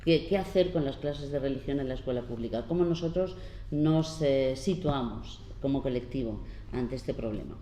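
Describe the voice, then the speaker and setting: A woman in her fifties from La Mancha gives an earnest, unhurried public talk as a panel speaker, pausing often as she explains. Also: extremely low pitch, mellow. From La Mancha, Spain. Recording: roomy hall with faint background noise.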